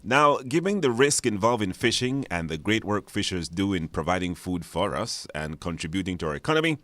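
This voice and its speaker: A man's voice: low-pitched, very animated, a little fast, a bit bright, dry recording. A man hosting a live radio show in a conversational way, repeating phrases for emphasis.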